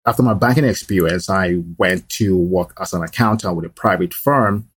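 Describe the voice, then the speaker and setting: A middle-aged man with a fairly low voice talks his story through at an easy pace, leaning on "you know" as he goes. The sound is close and dry, with no room around it. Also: moderate pace, slightly rough, dry recording. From Nigeria. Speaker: guest, small business owner. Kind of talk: conversational life story.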